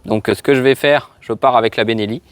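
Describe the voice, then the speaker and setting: A man's voice: mid-range voice, steady tone, brisk, clean tone. A man hosting a casual gear demo, talking straight to his viewers. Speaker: motovlog host testing gear. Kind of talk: casual product demo to camera.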